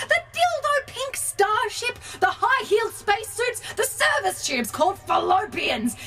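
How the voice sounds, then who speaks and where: Flippant, adventure-hungry female space captain, audio comedy. Very high pitch, highly animated, breezy and theatrical. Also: heavily acted. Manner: theatrical, breezy mock-managerial talk. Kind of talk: audio comedy drama acting.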